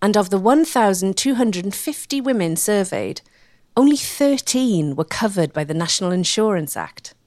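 A woman narrator delivers a scripted history documentary with wry, measured phrasing. Her medium-pitched voice moves animatedly up and down, and the recording is close and dry. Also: expressive, a little mellow, dry recording.

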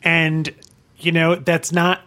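A man's voice: a little high, monotone, slow pace, sharp tone. A man co-hosting a casual podcast chat, talking earnestly and sometimes losing his train of thought.

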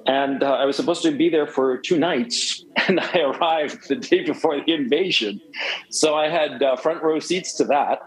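A man's voice: fairly high pitch, normal speed, rough recording. A man in his forties from Chile, a guest talking emphatically on a cell phone, rambling with many restarts. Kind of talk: phone interview.

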